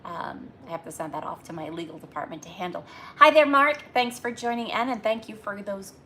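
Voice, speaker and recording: Bright-voiced woman chatting on a livestream. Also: medium pitch, lively intonation, a little fast. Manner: chatty, rambling, greeting viewers by name. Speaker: livestream host. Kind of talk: casual live chat with viewers.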